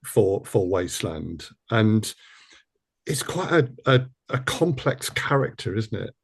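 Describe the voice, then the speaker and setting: A man with a slightly deep voice hosts a conversational interview. He phrases his questions haltingly, doubling back on words as he goes. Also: big pitch swings, vocal fry, breathy edge. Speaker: interviewer and producer.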